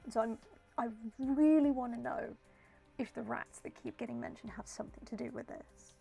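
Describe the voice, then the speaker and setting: A woman with a slightly croaky voice, hosting a casual game playthrough with commentary and read-aloud text. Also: fairly high pitch, moderate pace, dark tone. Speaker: gaming video host. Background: background music.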